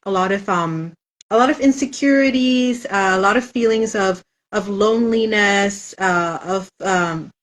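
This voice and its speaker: A woman's voice: medium pitch, a bit bright, low-quality recording. A woman author whose first language is Spanish, chatting thoughtfully in a casual interview with frequent "um" fillers.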